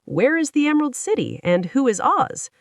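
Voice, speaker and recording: A woman voicing a friendly, polite, wistful male scarecrow with a husky voice in a storybook. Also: high voice, sing-song, normal speed, no room sound, studio quality, pitched above the performer's own voice. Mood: bright, curious. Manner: courteous, earnest, confiding.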